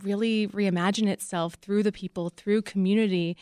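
A woman with a very clear, smooth voice talks as an interview guest. She is casual and expressive, recorded close in a dry studio. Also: mid-range voice, moderate pace, no room sound. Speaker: interview guest, audio drama co-creator.

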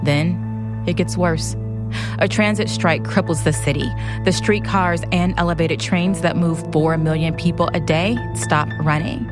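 A woman's voice: lowish voice, fairly even intonation, measured pace. A woman narrating history in a scripted, dramatic documentary style. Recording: loud music bed under narration.

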